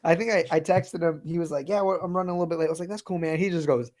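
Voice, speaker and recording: A man's voice: a little high, fairly even intonation, racing, darkish tone. A man hosting a casual comics chat, talking with enthusiasm, swearing now and then and leaning on fillers. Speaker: podcast host.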